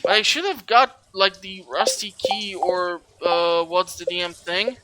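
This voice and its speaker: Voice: mid-range voice, measured pace, a little hiss or hum. A person giving casual let's play commentary, chatty and rambling, with some mild swearing. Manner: chatty, rambling, mildly profane. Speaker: gaming video host. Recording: loud game music behind the voice. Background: video game music.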